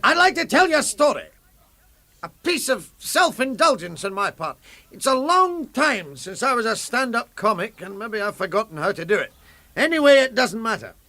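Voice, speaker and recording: A bitter ex-comedian in a radio play, facing down a crowd. His voice rides very high for a man and swings widely. He speaks in theatrical, rhetorical repetitions, with a hard, bright edge. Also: very animated, normal speed, no echo, full character voice. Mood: wry storytelling. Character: bitter, defiant former stand-up comic addressing a hostile crowd. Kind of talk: radio drama monologue.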